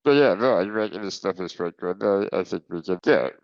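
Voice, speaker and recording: A man's voice: low-pitched, lively intonation, a little fast, vocal fry, lo-fi sound, over loud music. A man from Wales, co-hosting a podcast in laid-back casual chat full of tag questions. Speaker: podcast co-host.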